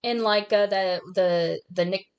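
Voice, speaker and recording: A woman's voice: mid-range voice, steady tone, unhurried, muffled recording. A woman co-hosting a podcast in a casual, conversational and opinionated way as she discusses films. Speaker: podcast co-host. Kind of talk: casual film discussion chat.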